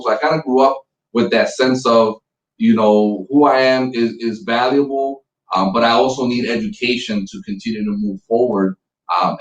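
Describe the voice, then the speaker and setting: Principal, man in his 40s from Puerto Rico, native Spanish speaker, earnest and halting, echoey remote audio. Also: medium pitch, distant mic, dull audio. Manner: earnest, many ums and restarts. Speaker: school principal. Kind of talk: conversational interview.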